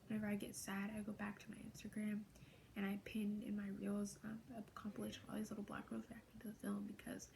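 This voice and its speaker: A young woman in her late teens talking in a casual fan vlog, gushing in a soft, half-whispered way, with lots of "like" fillers. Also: medium pitch, completely flat delivery, quick pace, gravelly, noisy background. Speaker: vlogger.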